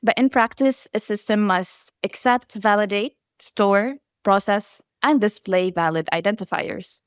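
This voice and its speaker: Woman, native Arabic speaker, smooth clear voice, dry close sound, measured presentation. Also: mid-range voice, dry recording. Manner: measured, enumerating lists steadily. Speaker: researcher presenting. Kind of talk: prepared conference presentation.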